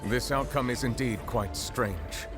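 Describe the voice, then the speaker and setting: An actor playing a solemn chief judge in a dramatic game, speaking formally and with grave, measured authority. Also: male voice, medium pitch, lively intonation, music in the background, natural voice. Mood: grave, questioning. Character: solemn male chief judge presiding over a courtroom.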